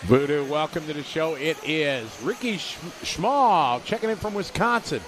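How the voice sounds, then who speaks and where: A man calls a radio-controlled car race live from trackside, his voice sitting a little high. He rattles off positions and gaps and greets viewers in between. Engine noise and the sounds of an outdoor track run behind him. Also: expressive. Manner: rapid play-by-play, reads viewer chat aloud. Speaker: race commentator.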